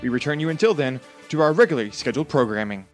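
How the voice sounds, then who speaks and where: A man's voice: medium pitch, animated, very fast, over loud music, natural voice. A man playing a formal, urgent radio news announcer in a radio play bulletin.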